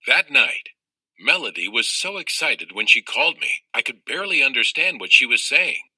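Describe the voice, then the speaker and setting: A man reading a children's novel aloud in an expressive storytelling style. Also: mid-range voice, normal speed, breathy edge, no room sound, low-quality recording. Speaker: audiobook reader of a children's novel.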